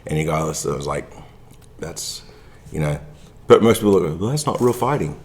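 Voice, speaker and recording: Male podcast host, very low voice, rambling casual storyteller. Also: animated, brisk. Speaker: martial arts podcast host.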